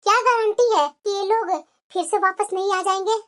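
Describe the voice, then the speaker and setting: A woman voicing a young newlywed daughter-in-law in a moral-story cartoon, respectful, deliberate and persuasive. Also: extremely high pitch, fairly even intonation, fairly bright tone. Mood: calm persuasion.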